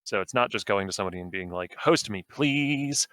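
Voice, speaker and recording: A young man with a low-set voice chats easily as a podcast guest, wandering through his thoughts with plenty of ums and likes. The sound is close and dry, with no room around it. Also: brisk, no echo. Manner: relaxed, rambling, full of fillers. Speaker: guest streamer. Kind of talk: casual podcast conversation.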